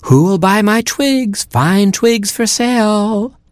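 A man doing a high, hawking seal peddler. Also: animated, slow pace, dry recording, clean studio sound. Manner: sing-song street-seller calls. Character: huckstering male seal peddler, cheery salesman.

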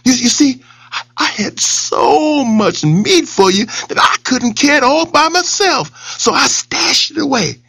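A storyteller doing a squeaky sly rabbit. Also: big pitch swings, vocal fry, fairly bright tone, slightly rough, no echo, exaggerated voice. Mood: nervous. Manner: pleading, stammering, rapid patter. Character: small sly fast-talking male rabbit trickster.

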